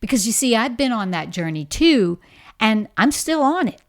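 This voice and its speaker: A woman's voice: on the high side, big pitch swings, normal speed. A middle-aged woman with a Bahamian accent giving an encouraging, emphatic motivational talk on leadership. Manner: warm, encouraging, emphatic storytelling. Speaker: leadership coach.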